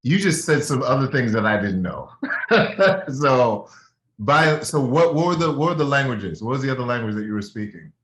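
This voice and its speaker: A man's voice: medium pitch, sing-song, normal speed, fairly bright tone, roomy sound. A man hosting an interview, enthusiastic, cutting in with eager follow-up questions. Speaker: host.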